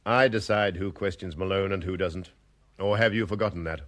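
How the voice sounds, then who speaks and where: A brusque superintendent. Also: male voice, low voice, a little fast, a bit bright. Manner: brusque, impatient, authoritative. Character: curt, domineering police superintendent, middle-aged man. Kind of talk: 1960s radio drama acting.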